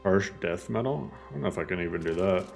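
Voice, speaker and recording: A man's voice: low voice, animated, quick pace, gravelly, faint music behind. A man giving casual, joking gameplay commentary, rambling and self-deprecating. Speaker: game streamer.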